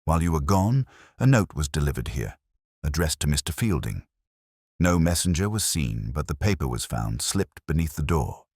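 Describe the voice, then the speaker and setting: Male narrator as confident Victorian policeman, storybook, matter-of-fact, very low voice, dry recording. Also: big pitch swings, normal speed, a little mellow, slightly rough, dry recording, full character voice. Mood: businesslike.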